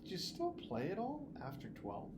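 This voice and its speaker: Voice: mid-range voice, huge pitch swings, moderate pace, heavy background noise, pitched above the performer's own voice. A storybook reader playing an earnest, slightly anxious eleven-year-old boy who asks a lot of questions. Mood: insistent.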